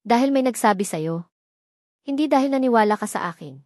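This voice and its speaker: A woman's voice: a little high, expressive, brisk, a little mellow, no echo, studio quality, natural voice. Wounded young husband, bitter and raw, read by a woman storyteller. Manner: bitter, then breaking and hurt.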